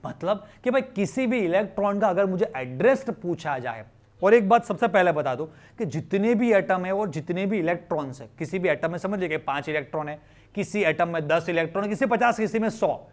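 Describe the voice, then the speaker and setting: A man's voice: high-pitched, expressive, brisk. A man with an Indian accent teaches students informally, conversationally explaining with questions and repeated phrases and mixing in English. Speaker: chemistry teacher.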